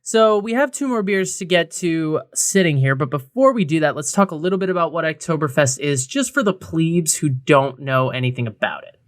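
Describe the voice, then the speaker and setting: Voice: medium pitch, expressive, moderate pace, few pauses. A podcast co-host chatting casually and comedically, with wry, sarcastic asides and slangy phrasing. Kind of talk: casual comedic chat about a beer festival.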